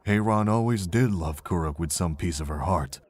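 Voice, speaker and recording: Casting: man to play wise, regretful middle-aged monk for a visual novel; very low, raspy register, gentle reflective storytelling. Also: moderate pace. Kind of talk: fan visual novel voice acting.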